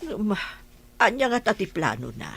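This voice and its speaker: A woman's voice: medium pitch, big pitch swings, moderate pace, vocal fry, fairly bright tone, slightly rough, full character voice. A woman playing a fretful, inquisitive mother in a radio drama. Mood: worried, probing. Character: fretful, inquisitive mother advising her grown child.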